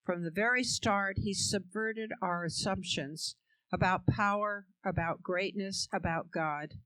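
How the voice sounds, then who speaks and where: A woman's voice: medium pitch, unhurried, fairly bright tone, smooth, no room sound, low-quality recording. A woman giving an emphatic, rhythmic scripted reading. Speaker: reader.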